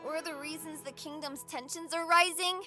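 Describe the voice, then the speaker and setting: A woman's voice: high-pitched, fairly even intonation, moderate pace, pushed and bright, faint music behind. A woman playing a kind, earnest kid, wise beyond their years, in an audio drama. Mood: thoughtful, earnest. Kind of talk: scripted audio drama acting.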